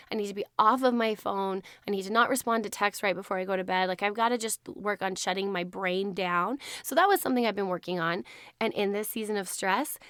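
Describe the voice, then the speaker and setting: A hoarse woman hosting her podcast in a casual, chatty way, laughing often. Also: medium pitch, no echo, studio quality. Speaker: podcast host. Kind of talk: casual chat.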